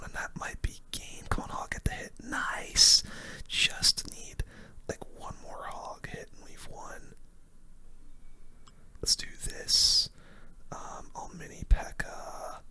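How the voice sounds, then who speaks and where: A person giving casual, rambling game commentary in a breathy, unvoiced ASMR whisper, close to the microphone. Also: slow, pushed and bright. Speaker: gaming video host.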